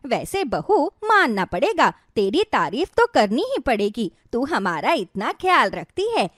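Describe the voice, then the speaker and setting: A woman voicing a chatty, flattering middle-aged aunt-in-law in a cartoon moral story. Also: a little high, no echo, clean studio sound.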